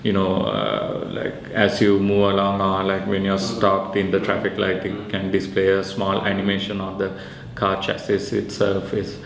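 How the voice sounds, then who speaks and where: A man in his thirties with a Sri Lankan accent, explaining his research project in an informal interview, pausing often mid-sentence. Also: sits low, creaky, a crowd in the background. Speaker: researcher and maker presenting a project. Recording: echoey room with faint background noise.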